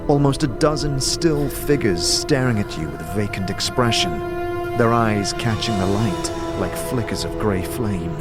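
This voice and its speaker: A man narrating a fantasy audio drama in the second person, dramatic and measured like a game master. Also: lowish voice, animated, loud music behind the voice.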